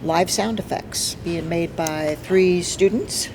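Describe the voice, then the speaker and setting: A theatre guest answering interview questions in an upbeat, quick way. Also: medium pitch, background noise. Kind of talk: casual interview.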